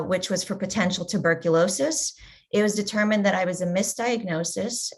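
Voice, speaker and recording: A woman in her forties, a patient advocate, telling her personal story in an earnest, measured way on a panel discussion. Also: mid-range voice, a bit bright.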